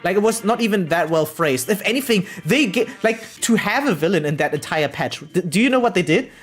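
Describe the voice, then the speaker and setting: A woman's voice: mid-range voice, fairly quick, soft background music. A woman game streamer chatting casually and opinionatedly on a live stream, swearing freely and often checking in with her listeners. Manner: animated, opinionated venting with rhetorical check-ins.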